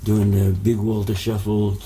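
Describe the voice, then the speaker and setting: A man's voice: fairly low pitch, monotone, measured pace, very noisy. A man, born in Hungary, telling stories as a musician guest in a casual interview, rambling with many fillers and restarts.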